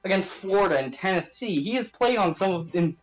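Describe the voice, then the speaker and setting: A man with a notably high voice hosts a casual sports podcast. He talks informally and puts emphasis on his points, as in a chat between friends. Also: animated, fairly quick, poor audio.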